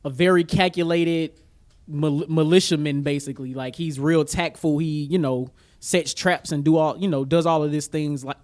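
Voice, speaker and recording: A man with a voice a little higher than most men's, chatting as a podcast co-host. He talks informally, with plenty of slang and an agreeable manner. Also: steady tone, normal speed, darkish tone. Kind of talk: casual chat about music, films and sports.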